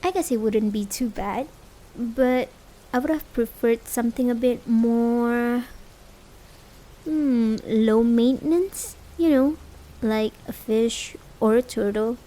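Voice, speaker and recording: A woman's voice: fairly high pitch, slow pace, dark tone, rough recording. A woman acting a caring, worried, hesitant partner in a realistic slice-of-life ASMR roleplay. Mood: reluctant, thoughtful. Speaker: amateur voice actor. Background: rain sound effects.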